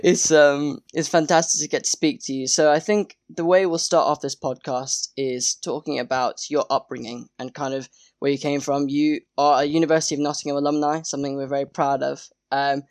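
A man's voice: a little high, level tone, a little fast, dry recording, studio quality. A young man, a student, hosting a podcast interview in a polite, careful way with hedging fillers.

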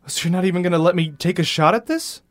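Actor as pushy male reporter, smug, bossy, higher-pitched, radio drama. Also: fast, natural voice. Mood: cajoling.

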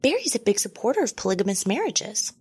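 A woman's voice: medium pitch, big pitch swings, fast, darkish tone, slightly rough. A woman playing a naive, earnest and oblivious girlfriend in a comedy audio drama.

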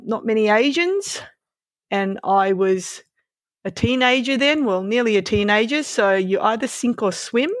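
A woman's voice: medium pitch, no echo. A woman in her fifties with an Australian accent, born in Malaysia, telling her story casually and candidly as an interview guest, with some self-deprecation. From born in Malaysia, moved to Australia around twelve.

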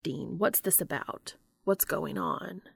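A woman voicing a calm, steady male angel who speaks low, hushed and measured, softly insistent. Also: vocal fry, breathy edge, full character voice.

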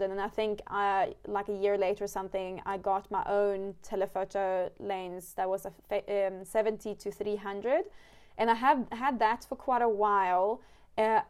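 A woman's voice: mid-range voice, a little mellow, smooth. A woman from Sweden, a Swedish native speaker, hosting a casual podcast chat, talking loosely with lots of fillers and restarts. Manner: chatty, many fillers and restarts. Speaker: podcast host.

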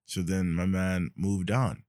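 A man's voice: low-pitched, flat delivery, slow pace, a little mellow, no echo, studio quality. A young man hosting a podcast, telling a story in a reflective, casual way. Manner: reflective, storytelling, frequent fillers. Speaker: podcast host.

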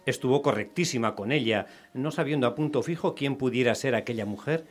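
A man's voice: mid-range voice, a little fast, soft background music. A man narrating a scripted story for radio theatre.

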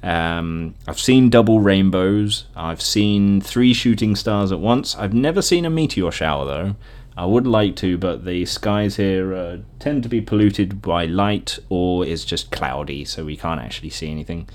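A man's voice: deep voice, unhurried. A young British man talking casually and informally on his own, rambling through memories as a podcast host with easy enthusiasm. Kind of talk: casual rambling solo reminiscing.

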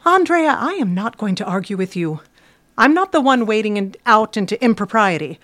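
A woman's voice: mid-range voice, animated, moderate pace, a bit bright, natural voice. An actress playing a prim, fretful middle-aged mother who scolds like a martyr in an audio drama. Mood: indignant, martyred.

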